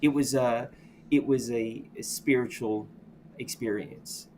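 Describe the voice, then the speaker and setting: A middle-aged man, a podcast guest from the United States, talking candidly about his past in a reflective, halting way, often restarting and saying "you know.". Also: mid-range voice, fairly even intonation, a little mellow, a little hiss or hum. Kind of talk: candid personal storytelling.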